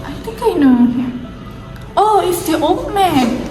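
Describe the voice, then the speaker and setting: Welcoming young woman stage role played by a woman, hesitant, slow delivery, echoey with music behind. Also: extremely high pitch, very animated, exaggerated voice. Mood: surprised, welcoming. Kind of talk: amateur stage play acting.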